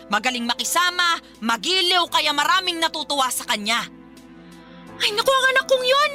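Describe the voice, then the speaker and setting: A woman playing a harsh, belittling, nagging Filipino mother in a radio drama, with a sharp-tongued, scolding manner. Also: very high voice, full character voice. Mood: doting.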